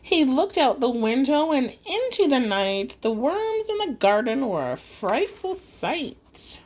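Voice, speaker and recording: High, animated woman reading a children's story. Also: slow pace. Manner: dramatic, singsong storytelling. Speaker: audiobook reader. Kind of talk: expressive rhyming children's story narration.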